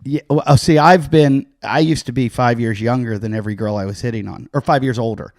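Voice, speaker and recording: A man's voice: mid-range voice, brisk, darkish tone, no room sound. This is a middle-aged man from Pittsburgh hosting a casual podcast. His voice sits in the middle range and sounds dry and close on the mic. He tells loose, self-mocking stories and jumps into short impressions of the people in them. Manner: rambling, self-mocking, acts out voices in anecdotes. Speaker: podcast host, comedian. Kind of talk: casual storytelling chat.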